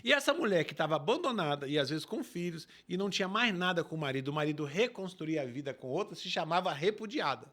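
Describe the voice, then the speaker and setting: A pastor speaking with emphasis, his voice pitched high for a man. His pitch rises and falls widely as he explains scripture to the show's host comes through clearly. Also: lively intonation, fast talker, hardly any pauses, fairly bright tone. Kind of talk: preaching in a talk show.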